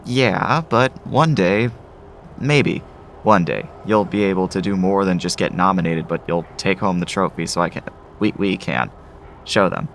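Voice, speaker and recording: Man acting a nervous, doting low-voiced dad. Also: unhurried, slight background noise. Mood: proud, emotional. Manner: fussing, gushing, eager. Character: nervous, doting, proud showbiz father. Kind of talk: audio drama acting.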